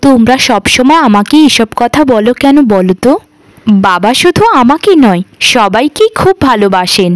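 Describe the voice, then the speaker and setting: A woman voicing a gentle, dutiful youngest daughter, earnest and conciliatory, in a cartoon. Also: on the high side, expressive, moderate pace, no room sound, lightly acted. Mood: earnest pleading. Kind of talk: cartoon voice acting.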